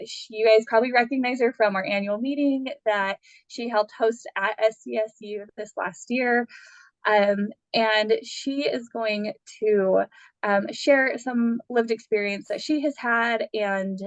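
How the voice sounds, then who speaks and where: A woman's voice: mid-range voice, no echo. A young woman hosts an online meeting in a chatty, friendly way. Her voice sounds dull and dry over the call. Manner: friendly, chatty, reads out chat. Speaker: meeting host. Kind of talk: casual meeting introduction. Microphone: computer mic on a video call.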